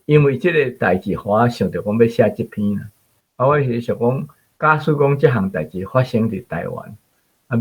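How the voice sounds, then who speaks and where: A husky man with a Taiwanese accent explaining his writing as an author and interview guest. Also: medium pitch. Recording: dull lo-fi recording.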